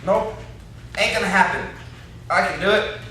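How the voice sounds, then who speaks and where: A man's voice: high voice, moderate pace, sharp tone. A young man playing an indignant, blustering country captive in an amateur stage play, with a folksy, complaining, threatening way of talking. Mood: frustrated. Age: young adult. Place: classroom-like room, indoors. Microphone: far camera. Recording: echoey room, distant camera mic, faint noise.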